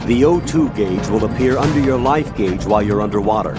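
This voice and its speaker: A man's voice: lowish voice, brisk, natural voice. An actor playing a male soldier explaining swimming controls in a video game tutorial, matter-of-fact. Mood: instructive. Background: loud game music.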